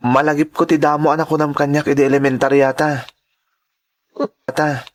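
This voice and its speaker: Man acting earnest young Ilocano student, lightly high voice. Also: level tone, brisk, close to an everyday voice. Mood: grateful, tender. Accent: Filipino, Ilocano. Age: young adult. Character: earnest, grateful young male working student. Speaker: drama actor. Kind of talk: sentimental radio-style drama acting.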